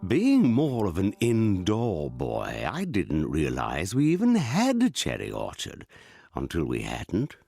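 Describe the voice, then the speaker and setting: A wry narrator of a period radio comedy looks back on his youth in a low, gravelly voice. His delivery is measured and mock-solemn, yet it rises and falls widely. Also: huge pitch swings, warm tone, soft background music, full character voice. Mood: wry reminiscence. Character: man narrator looking back wryly on boyhood at a country hall. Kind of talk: scripted comic period radio drama narration.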